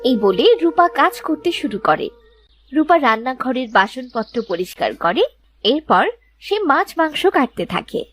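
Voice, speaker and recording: A woman reading scripted narration for a children's animated story. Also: sits high, moderate pace, a little hiss or hum.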